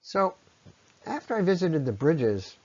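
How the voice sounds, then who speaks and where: A man presenting an explanatory slide talk in a conversational, unscripted way, with halting phrasing and frequent pauses. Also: on the high side, big pitch swings, a little mellow, breathy edge, highs cut off. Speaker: presenter, ecology lecturer.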